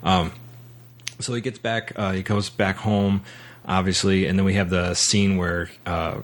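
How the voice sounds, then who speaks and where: A man with a low-pitched voice speaks as a podcast host. His delivery is casual and conversational, rambling a little and halting as he pieces his thoughts together. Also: flat delivery. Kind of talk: casual film discussion.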